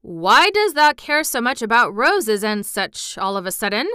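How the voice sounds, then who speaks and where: A woman doing a gruff old gardener. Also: a little high, very animated, normal speed, pushed and bright, no room sound, clean studio sound. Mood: grumbling. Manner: gruff, grumbling, blunt. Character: grumpy old male Yorkshire gardener.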